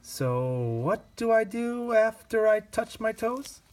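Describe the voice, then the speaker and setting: A man's voice: sits high, sing-song, takes it slowly, pitched above the performer's own voice, heavily acted. A scatterbrained young dog with a raised, wondering voice, as a man reads him. He makes innocent, puzzled excuses in a bouncy storybook tone. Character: distractible, eager young male dog.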